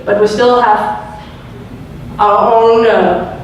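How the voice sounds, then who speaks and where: A woman's voice: mid-range voice, fairly even intonation, extremely slow, heavy reverb, distant mic, dull audio, slight background noise. A woman from Denmark with a Danish accent and a cold, speaking English hesitantly as a guest speaker to a class. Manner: hesitant, searching for words. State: has a cold. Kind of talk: classroom presentation.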